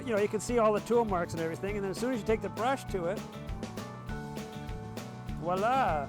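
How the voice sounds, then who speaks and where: High-voiced man explaining his craft. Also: a little fast. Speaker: sand sculptor. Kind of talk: casual explanation of tools and technique. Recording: music behind the voice. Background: background music.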